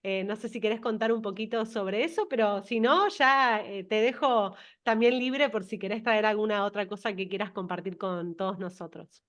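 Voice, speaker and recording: A woman's voice: mid-range voice, normal speed, few pauses, fairly bright tone. A woman hosting an interview over a video call, affirming and encouraging her guest. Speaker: interview host.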